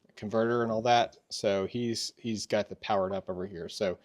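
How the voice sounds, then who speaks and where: A man's voice: on the low side, steady tone, moderate pace. Middle-aged man reviewing gear casually. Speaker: host of a product review channel. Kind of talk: casual product review chat. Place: indoors in a workshop.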